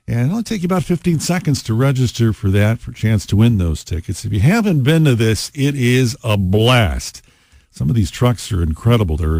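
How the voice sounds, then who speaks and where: A man hosting a rock radio show, talking in a relaxed, chatty way with frequent fillers between songs. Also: mid-range voice, dry recording, studio quality. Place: radio studio. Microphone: studio microphone.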